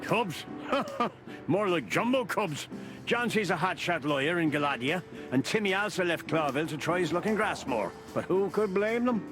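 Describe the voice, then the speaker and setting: A jovial old Irish beaver from a video game, pitched a little high and swinging freely up and down. He teases like a folksy, fatherly uncle and will not be budged. Music plays underneath. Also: expressive, normal speed. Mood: fond, wry. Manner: teasing, folksy, chuckling old-timer.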